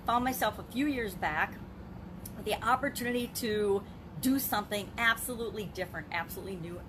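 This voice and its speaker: A woman's voice: medium pitch, brisk. An older woman talking candidly and off the cuff to camera as a business vlogger, rambling a bit, with emphatic phrasing. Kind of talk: unscripted talk to camera on a live video. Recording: clearly noisy background ambience.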